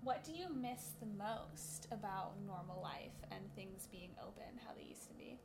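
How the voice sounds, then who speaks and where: A woman's voice: mid-range voice, expressive, moderate pace, darkish tone. A woman asking interview questions in a casual way. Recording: clearly noisy background.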